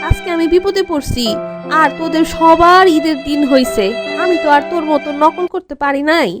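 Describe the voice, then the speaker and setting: Woman as a whiny, high-pitched cartoon schoolgirl. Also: normal speed. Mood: anxious complaining. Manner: whiny, melodramatic. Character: dramatic, anxious teenage schoolgirl awaiting exam results. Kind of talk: comic cartoon dialogue. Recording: music behind the voice.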